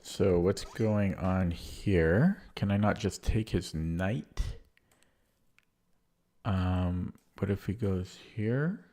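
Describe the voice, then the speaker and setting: Man, deep low voice, hoarse, throat-clearing, casual live chess streamer, thinking aloud. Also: drawn-out words, dark tone. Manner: thinks aloud while playing, muttering over moves.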